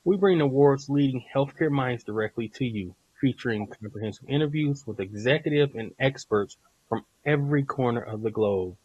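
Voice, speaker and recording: A man hosting a healthcare podcast, reading a smooth scripted intro and then chatting casually, with some stumbles and repeated words. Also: medium pitch, moderate pace, few pauses, darkish tone, lo-fi sound. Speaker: podcast host, nurse.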